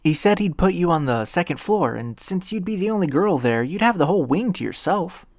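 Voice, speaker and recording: A man's voice: sits high, lively intonation, brisk, no echo, clean studio sound, voice pushed up. A man voicing an earnest, polite teenage boy hero in a fan fiction reading, eager and a little nervous, with a stammer. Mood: eager, helpful.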